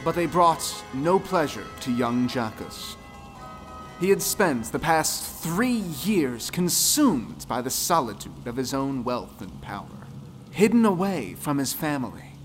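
A man narrating a scripted folktale in a solemn, measured, dramatic way. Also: medium pitch, very animated. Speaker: storyteller. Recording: music under the voice. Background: music.

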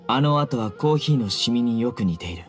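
Male actor as young investigator, stern, calm, measured, commanding, anime game acting, faint music. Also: mid-range voice, natural voice. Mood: calm, authoritative.

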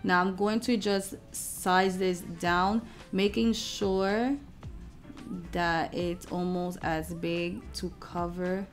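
A woman hosting a craft software tutorial, explaining each step in an informal, casual way. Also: fairly low pitch, steady tone, slow pace, soft background music.

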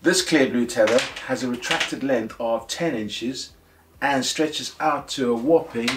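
A man in his forties with a mid-pitched voice presents a product to camera. He explains in a scripted review style, asking questions and answering them himself. The sound is roomy, with noticeable echo from an indoor workshop studio. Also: noisy background.

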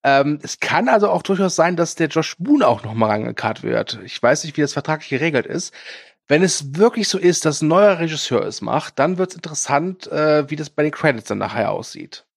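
A man's voice: fairly high pitch, big pitch swings, fairly quick, gravelly, slightly rough, no echo, studio quality. A man co-hosting a casual film news podcast, talking with frequent hesitations and filler sounds. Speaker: podcast co-host.

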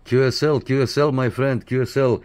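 A man's voice: mid-range voice, fairly even intonation, fairly quick, darkish tone, clear, resonant tone. A man making casual CB radio contact, friendly and often repeating his phrases. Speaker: CB radio operator and video maker. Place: indoor room at a radio desk.

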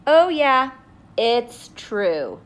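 A woman voicing a grumpy, defiant little sunflower seed in an emphatic, drawn-out storybook delivery. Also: on the high side, very animated, exaggerated voice.

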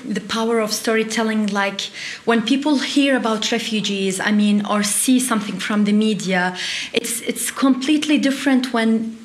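A woman in her twenties with a Middle Eastern accent, giving earnest, slightly halting answers as an interview guest. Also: a little high. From Iraq, later Syria and Turkey. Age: 20s. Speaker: interview guest, refugee youth delegate. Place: small indoor interview set. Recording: roomy, echoey sound.

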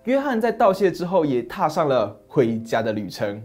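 A man in his thirties narrating a fairy tale and explaining it, steady and expressive. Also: fairly high pitch, moderate pace, music in the background. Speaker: storyteller host. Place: dim study set, indoors. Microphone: lapel mic.

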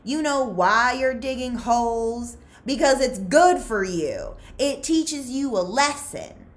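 A woman's voice: a little high, lively intonation, takes it slowly, pitched above the performer's own voice. A woman voicing a stern, commanding female camp warden in a storybook, firm and condescending.

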